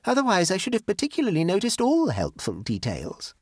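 A man's voice: high-pitched, huge pitch swings, fairly quick, husky, no echo, clean studio sound, pitched above the performer's own voice, heavily acted. A man voicing a touchy, self-pitying young society woman in a storybook, with a plaintive, genteel and defensive manner. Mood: earnest, defensive. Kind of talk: audiobook character dialogue.

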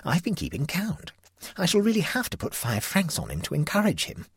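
A merry old aunt who has just found a taste for the gaming tables, as a man reads her, her voice lifted well above a man's usual tone. She sounds breathy, bounces through her lines and never doubts herself. The room around her is dry. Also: on the high side, wildly swooping pitch, brisk, no room sound, pitched above the performer's own voice, exaggerated voice. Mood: fond. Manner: breezy and self-assured. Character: cheerful old aunt, a newly bold gambler.

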